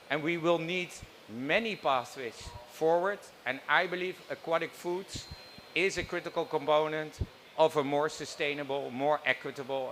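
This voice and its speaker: Man on a panel, emphatic and declamatory, fairly high voice, faint noise behind. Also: a bit bright. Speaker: panel speaker. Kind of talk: conference panel closing remarks.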